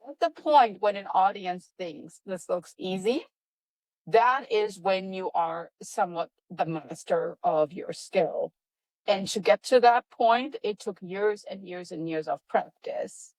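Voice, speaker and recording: A woman's voice: slow, no echo, poor audio. Upbeat woman with a European accent. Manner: energetic, upbeat, emphatic with lively pitch jumps. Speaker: podcast guest, professor. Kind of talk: enthusiastic interview answers.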